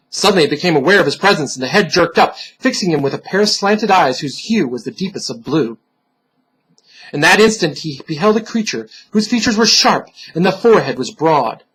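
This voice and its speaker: A man giving a dramatic, solemn reading of a dark fantasy story, theatrical and deliberate, with pauses for effect and a husky voice. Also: a little high, very animated, poor audio. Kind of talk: dramatic scripted narration.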